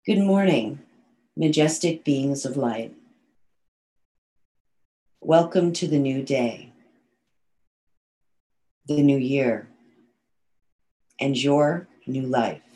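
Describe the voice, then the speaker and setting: Voiced by a woman, a wise male spirit teacher greets his listeners in a deep, low register. His address is slow, formal and measured, and a head cold lends it a nasal edge. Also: dark tone, full character voice. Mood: solemn.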